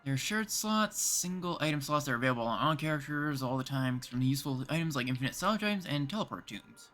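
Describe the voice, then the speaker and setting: A man's voice: medium pitch, expressive, fast, a bit bright, soft background music. A man with a Canadian accent, chatting casually as a livestream host and thinking aloud while he plays.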